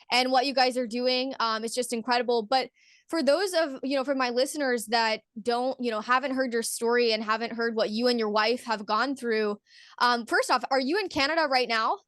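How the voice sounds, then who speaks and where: A woman's voice: on the high side, a little fast, fairly bright tone, dry recording, studio quality. A young woman hosting an interview podcast, talking in an eager, upbeat and earnest way. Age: young adult. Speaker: podcast host.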